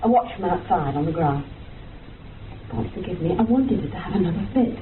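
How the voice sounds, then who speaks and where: Woman acting a low-voiced guilty confessor, far off. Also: expressive, brisk, close to an everyday voice. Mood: guilty, subdued. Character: guilt-ridden woman confessing her part in an experiment. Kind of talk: radio drama acting. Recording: distant, echoey, muffled, faint hiss.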